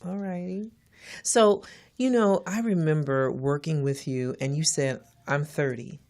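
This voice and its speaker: A woman in her forties hosting an interview, eager and quick to laugh loudly, with lots of fillers. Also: deep voice. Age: 40s. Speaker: interview host. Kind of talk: casual interview chat.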